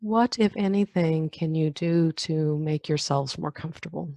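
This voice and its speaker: A woman leading a guided meditation in a calm, unhurried manner, leaving long pauses between phrases. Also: deep voice, dry recording. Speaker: meditation guide, yoga nidra teacher. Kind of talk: guided meditation, body scan.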